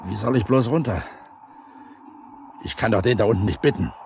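An actor playing a stout, weary, stubborn older brigade leader with gruff colloquial speech in a 1970s East German radio drama. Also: male voice, fairly low pitch, normal speed, darkish tone. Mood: exhausted, stubborn. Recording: noisy 1970s vinyl radio play.

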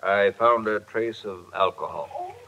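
A dull vintage radio recording of a male doctor giving testimony. His voice is fairly low, terse and measured, in 1950s courtroom drama style. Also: wildly swooping pitch, gravelly, very noisy, heavily acted.

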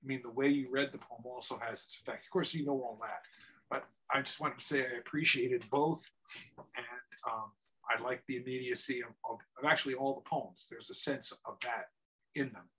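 A man's voice: medium pitch, steady tone, brisk, fairly bright tone, slightly rough, poor audio. A man in the audience giving a wordy, appreciative comment on a video call. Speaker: audience member.

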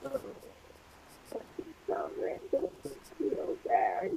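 A woman's voice: slow pace, mellow, slight background noise, natural voice. A woman playing a whiny, clingy young girlfriend who is hurting, in an amateur ASMR couple roleplay, on played-back video audio. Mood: whiny, pained.

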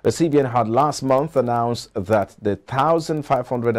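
A middle-aged man with a Nigerian accent reads scripted news as an anchor in a formal, measured way. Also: low voice, a little mellow. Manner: formal, measured announcer delivery. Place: TV news studio.

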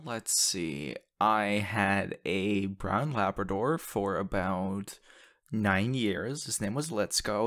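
A man playing an excitable, sappy young boyfriend in an audio roleplay, talking in a gushing, hesitant, stammering way into a close mic. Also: fairly low pitch, clear, resonant tone, close to an everyday voice. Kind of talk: ASMR audio roleplay, conversational acting. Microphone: close condenser microphone.

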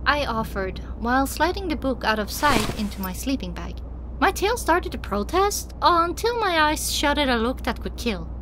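A woman's voice: sits high, sing-song, moderate pace, background noise. A woman reading first-person dramatic audiobook narration as a scripted story. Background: music.

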